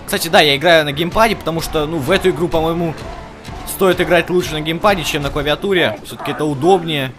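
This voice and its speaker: A man's voice: fairly high pitch, quick pace, over music. A man giving casual let's play commentary as host, chatting to the game's characters and to his viewers. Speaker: gaming video host.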